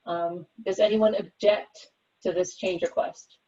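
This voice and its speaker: A woman's voice: fairly low pitch, fairly even intonation, unhurried, warm tone. A woman chairing a committee conference call, running procedural discussion and votes. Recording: muffled, distant conference call audio.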